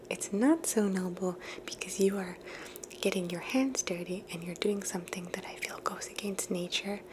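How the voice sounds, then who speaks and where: ASMR host, woman, gentle unvoiced whisper, reflective, close quiet delivery over a faint steady hiss. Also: on the low side. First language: English. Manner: soft unvoiced whisper, musing and thoughtful.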